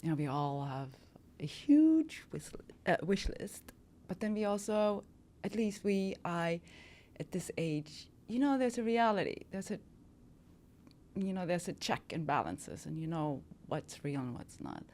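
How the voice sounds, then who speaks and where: A woman's voice: fairly low pitch, very animated, measured pace, a little mellow. A woman in her fifties from Germany, a model talking as a podcast guest in a reflective, hesitant way with many fillers. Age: 50s. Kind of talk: casual interview talk.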